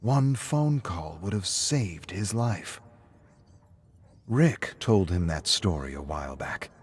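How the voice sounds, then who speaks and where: A man's voice: deep voice, expressive, takes it slowly. A man reading scripted story narration.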